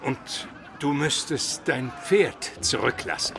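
A man's voice: medium pitch, expressive, takes it slowly, over music. A man playing an educated, pious Byzantine minister on the run, solemn and formal, in a German radio play. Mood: tense, practical.

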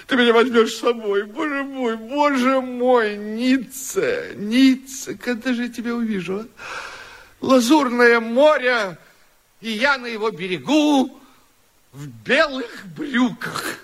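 Boastful, theatrical man. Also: high-pitched. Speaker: actor in a radio play. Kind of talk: theatrical radio play acting.

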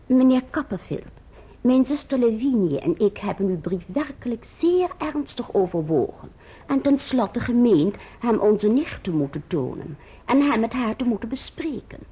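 An actress playing a solemn, fussy elderly maiden aunt in a radio drama, formal, halting and sententious. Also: female voice, fairly high pitch, big pitch swings, slightly rough. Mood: solemn, hesitant. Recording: muffled, dull old radio recording.

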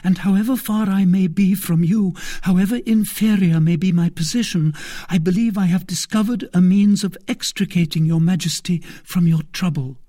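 A man's voice: high-pitched, normal speed, warm tone, no echo, studio quality, voice pushed up. A man voicing a devoted young lady-in-waiting who speaks fervently and earnestly. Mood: reassuring. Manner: fervent, earnest, at times whispered.

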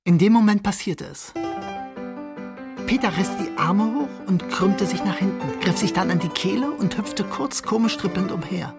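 A man's voice: high-pitched, animated, moderate pace. An actor playing a condemned husband who narrates his downfall in a detached, ironic, literary way for a radio drama. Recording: music bed behind the voice.

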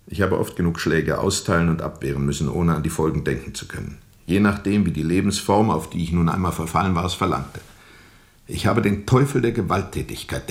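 Radio play lead: brooding sea captain, deep register, formal measured phrasing, bitter and introspective storyteller. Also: male voice. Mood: bitter, intense. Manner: reflective, deliberate, formal, bitterly disillusioned. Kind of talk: radio play narration, literary monologue.

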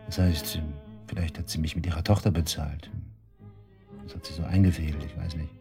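Sneering, very deep-voiced murder suspect. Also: male voice, steady tone, fairly quick, music in the background. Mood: cool, boastful. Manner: taunting, cynical, coarse colloquial talk. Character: cocky, sneering male murder suspect, shady club worker. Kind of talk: German radio drama acting, interrogation dialogue.

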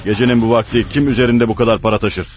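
An actor playing a gruff, suspicious bartender in a radio play, blunt and challenging. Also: male voice, medium pitch, steady tone, racing, dull audio, faint music behind, close to an everyday voice. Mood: suspicious, confrontational. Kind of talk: radio theatre acting.

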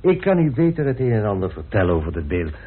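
An actor playing a courteous, grave gentleman telling of a family mystery in a radio play. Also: fairly low pitch, sing-song, quick pace, slightly rough. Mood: solemn, confiding. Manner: polite, solemn, storytelling. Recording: muffled, band-limited old radio recording.

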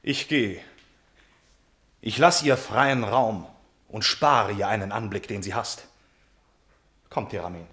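An actor playing a proud young prince troubled by forbidden love, speaking solemn, impassioned verse in a classical radio tragedy. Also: male voice, lowish voice, slow pace, long pauses, pushed and bright, full character voice. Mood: resolute. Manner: declamatory, solemn, impassioned delivery.

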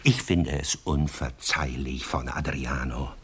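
An actor in a radio drama playing a jealous, self-pitying novelist lover, theatrical, bitter and mocking, swinging from mutters to outbursts. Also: male voice, extremely low pitch, slow, breathy edge, full character voice.

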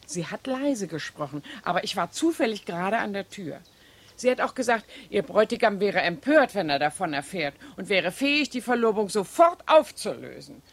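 A lively, gossipy cleaning lady. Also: mid-range voice, normal speed. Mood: innocent gossip. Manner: chatty, mock-innocent. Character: gossipy cleaning woman, falsely naive, chatty, feigning discretion. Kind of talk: 1970s German radio drama acting.

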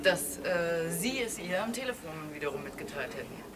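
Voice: medium pitch, very animated, normal speed. An actor playing a probing interviewer in a naturalistic audio drama, asking hesitant, broken and repetitive questions. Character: probing, hesitant investigator questioning murder witnesses. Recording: clear background noise, location ambience.

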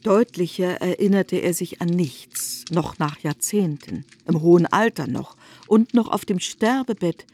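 A woman narrating a literary radio play in an even, solemn storytelling manner. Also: lowish voice, a little slow, hardly any pauses, breathy edge.

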